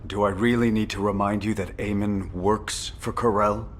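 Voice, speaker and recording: An actor playing a stern, unyielding military executive officer in a video game, speaking in a formal, curt, authoritative way. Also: male voice, fairly low pitch, steady tone, normal speed, slight background noise, natural voice. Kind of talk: video game story dialogue.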